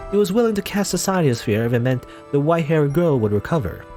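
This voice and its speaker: A man's voice: on the high side, very animated, fast talker, warm tone, faint music behind. A young man reading a visual novel aloud dramatically, breaking off into rambling asides full of fillers. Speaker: let's player.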